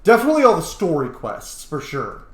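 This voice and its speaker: A man with a slightly high voice chats through a video game as its host. His delivery swings widely in pitch, excitable and casually sweary, and the sound is noticeably roomy. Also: brisk. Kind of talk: casual let's play commentary.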